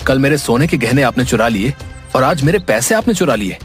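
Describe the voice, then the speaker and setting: Cartoon moral tale: male actor as a defiant, proud younger brother, quick to accuse, faint music underneath. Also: mid-range voice, expressive, natural voice. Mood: angry, resentful. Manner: accusing, indignant. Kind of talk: Hindi animated moral story dialogue.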